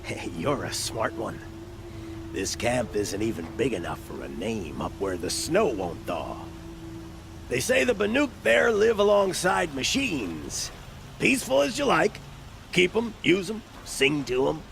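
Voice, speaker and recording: An actor playing a shifty, leering opportunist in a video game, talking in halting salesman patter full of throat-clearing and backtracking. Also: mid-range voice, very animated, fairly bright tone, a little hiss or hum, full character voice. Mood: scheming. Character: shifty, blustering male opportunist and gambler, leering and scheming.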